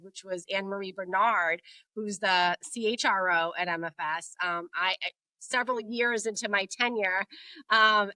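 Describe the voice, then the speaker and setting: Woman in her forties, native Portuguese speaker, bright voice and dry sound, candid and quick to laugh. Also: fairly high pitch, no room sound, low-quality recording. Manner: laughs often, warm and candid. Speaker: guest, HR executive. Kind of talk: casual interview chat.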